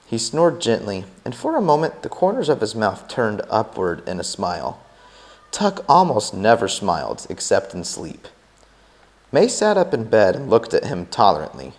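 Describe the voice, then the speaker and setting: A man narrating a chapter of a novel aloud in an expressive, unhurried read-aloud style. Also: fairly low pitch. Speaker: teacher reading a novel aloud.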